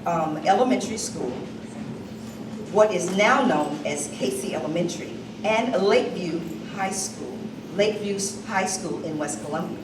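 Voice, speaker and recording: A woman giving a loud, projecting speech about an honoree at a community reception, at times off script. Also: mid-range voice. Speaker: speaker presenting an honoree. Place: hall at a museum reception. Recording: echoey hall recorded from far off with noise.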